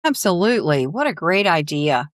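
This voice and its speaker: A woman's voice: on the low side, sing-song, normal speed, dry recording, studio quality. A woman hosting a podcast interview in casual conversation, encouraging and effusive toward her guest. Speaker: podcast host.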